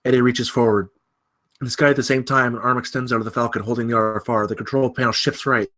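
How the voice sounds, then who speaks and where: A man's voice: medium pitch, steady tone, fast, bright, forceful tone. A man narrating scripted stage directions at a film table read.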